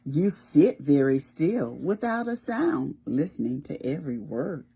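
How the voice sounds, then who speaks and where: A woman reading aloud as a gentle, loving mother bunny from a picture book, in a soft sing-song voice. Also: sits low, very animated, unhurried, husky, exaggerated voice. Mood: tender.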